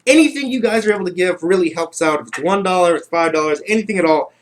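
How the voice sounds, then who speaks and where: A man with a slightly high voice is chatting as the host of a livestream. He talks casually and rambles, doubling back over his own phrases. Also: fast. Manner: rambling, repeats himself.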